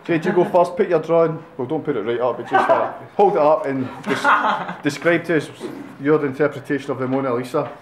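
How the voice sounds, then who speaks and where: A man's voice: on the high side, fairly quick, hardly any pauses. A man hosting a casual interview, running a drawing game with a jokey, laughing manner. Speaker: host. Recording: echoey room with loud noise.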